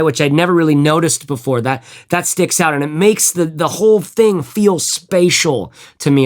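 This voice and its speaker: Casual man with a fairly high voice. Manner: rambling, swears freely, many fillers and restarts, hums out music. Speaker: headphone reviewer. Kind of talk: casual gear review chat.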